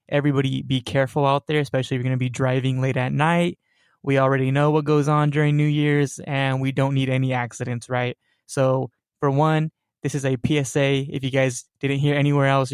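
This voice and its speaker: A man's voice: mid-range voice, flat delivery, a little fast, darkish tone, clear, resonant tone, no echo, studio quality. A young man hosting a casual supernatural podcast, rambling with fillers and tag questions like "right?". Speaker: podcast host.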